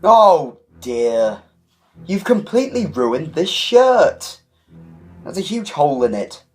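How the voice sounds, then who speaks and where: Audio drama lead, male actor: eccentric alien time traveller, wry, flippant, lively intonation. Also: on the high side, faint music behind. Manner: flippant, wry, quick-witted.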